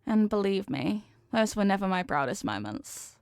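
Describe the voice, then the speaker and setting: A woman's voice: medium pitch, very animated, moderate pace, gravelly, darkish tone, clean tone, no echo, studio quality. A woman playing a sweet, devoted headless horsewoman girlfriend in an intimate ASMR roleplay, affectionate, playful and gushing. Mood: tender, wistful.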